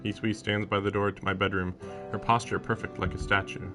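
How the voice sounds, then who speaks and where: A man's voice: on the low side, flat delivery, fairly quick. A man streaming a visual novel, reading its first-person narration aloud. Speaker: game streamer. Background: game music.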